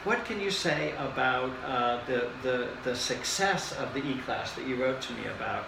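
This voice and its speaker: A man with a gravelly, creaky voice interviews a teacher, pausing often and filling gaps with ums and uhs. He is recorded in an echoey room with clear background noise. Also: mid-range voice, expressive. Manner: hesitant, many fillers, frequent backchannel. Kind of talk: reflective interview.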